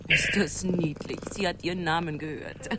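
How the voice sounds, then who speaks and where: An actress as a breezy, confiding woman in a radio play, speaking in a fairly low voice. Her delivery is natural and conversational, with a faint noise behind her. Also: fairly even intonation, moderate pace, natural voice. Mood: busy, friendly. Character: breezy woman confiding in her friend while packing for a trip. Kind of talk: naturalistic radio drama acting.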